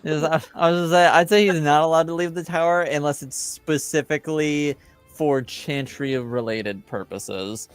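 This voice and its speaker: A game master narrating a tabletop fantasy game in a halting way, with pauses between phrases. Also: mid-range voice, clear, resonant tone, dry recording. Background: soft background music.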